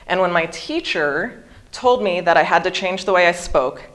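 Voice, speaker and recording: Low-voiced woman giving a careful, deliberate talk. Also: expressive, a bit bright. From Hawaii. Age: 40s. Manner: careful, over-articulated pronunciation. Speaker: guest speaker. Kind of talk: prepared seminar talk.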